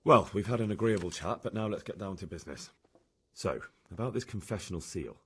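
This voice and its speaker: An actor playing a direct, questioning young man with a husky voice in a medieval video game. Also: sits low, lively intonation, a little fast, exaggerated voice. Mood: probing. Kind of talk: dramatic video game dialogue.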